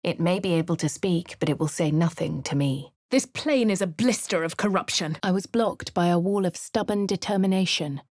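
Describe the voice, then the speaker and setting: Posh lady adventurer in a rpg, kinda husky and lowish, super dry studio. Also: normal speed, hardly any pauses, darkish tone, no echo. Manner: refined posh delivery. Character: posh adventurous young woman hero. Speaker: player character. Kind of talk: video game narration and dialogue.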